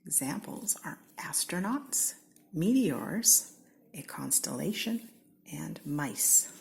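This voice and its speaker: Slow, animated American woman reading aloud. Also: vocal fry, in a whisper. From Seattle, Washington. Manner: slow, expressive read-aloud with lively pitch swings. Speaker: storybook reader. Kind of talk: reading a children's picture book aloud.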